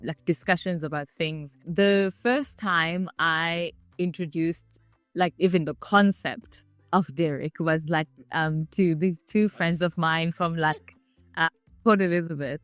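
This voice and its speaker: A woman's voice: sits low, steady tone, measured pace, dry recording, faint music behind. A woman, telling her story casually with lots of "like" fillers. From South Africa. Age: 30s. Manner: chatty, with many "like" fillers. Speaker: interviewee.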